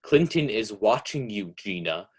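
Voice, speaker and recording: Man playing an ominous, slow, low-voiced mystery narrator. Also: expressive, husky. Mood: ominous, knowing. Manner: deliberate, insinuating. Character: knowing, ominous male narrator who needles the guilty heroine. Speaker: narrator of a radio mystery play. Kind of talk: 1940s radio mystery narration, second person.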